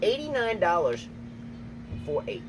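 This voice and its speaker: A man co-hosting a casual tennis chat outdoors, emphatic and repetitive, talking excitedly. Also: very high-pitched, unhurried, a crowd in the background. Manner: emphatic, repeats himself, excitable. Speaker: tennis channel co-host, coach. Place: outdoors, windy. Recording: noisy outdoor recording, wind.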